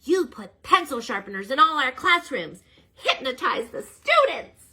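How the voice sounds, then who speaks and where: Woman voicing a high-pitched storybook turtle professor, excitable, jumpy delivery, bright. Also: a little slow, exaggerated voice. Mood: alarmed.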